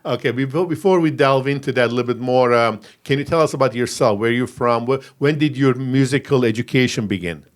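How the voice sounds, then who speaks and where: A man hosting a podcast, giving a polished intro and then asking questions in a halting way. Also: mid-range voice. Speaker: podcast host. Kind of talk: podcast interview. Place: podcast studio.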